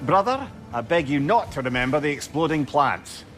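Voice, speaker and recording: High, animated, chatty Scottish talking head. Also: male voice, moderate pace, faint music behind. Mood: wry. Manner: wordy, exclamatory, wry. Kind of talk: video game cutscene acting.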